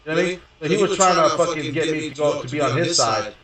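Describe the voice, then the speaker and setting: A young man with a somewhat high voice tells a long personal story and talks on casually, swearing and circling back with "you know what I mean." He sits well back from the mic, and the room rings with heavy echo. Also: quick pace, a bit bright. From Houston, Texas. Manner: profane, rambling, many fillers and restarts. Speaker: gaming streamer. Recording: very echoey room, distant from mic.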